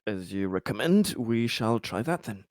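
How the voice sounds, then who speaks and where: Low-voiced man deliberating in a tabletop game. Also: big pitch swings, dry recording, clean studio sound. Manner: hesitant, repeats words as he deliberates. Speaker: player in a tabletop role-playing game. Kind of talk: casual in-character game chat.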